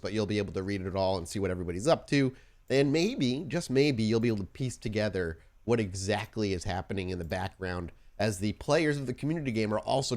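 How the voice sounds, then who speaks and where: Low-pitched man hosting a roleplaying podcast. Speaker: podcast host and game storyteller.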